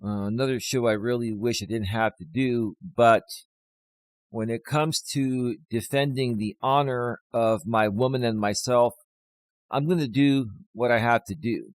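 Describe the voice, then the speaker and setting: A man hosting his own live stream as a life coach, talking casually to viewers with a slightly hoarse voice and lots of fillers. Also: medium pitch, measured pace, dry recording. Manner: rambling, many fillers, talks straight to viewers.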